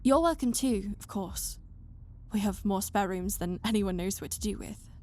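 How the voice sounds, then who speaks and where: A woman's voice: mid-range voice, moderate pace, dark tone, slight background noise, natural voice. A woman voicing a composed young noblewoman, precise and dryly sarcastic but caring, in an audio drama. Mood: gentle.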